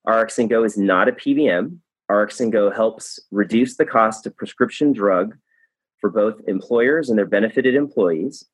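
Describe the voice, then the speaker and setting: A man hosts a podcast interview with an upbeat, chatty delivery, often doubling words as he goes. His voice sits low and a little husky. The sound is dry and close, with no room around it. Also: normal speed, no room sound, low-quality recording. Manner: upbeat, with repeated words and restarts. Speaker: podcast host.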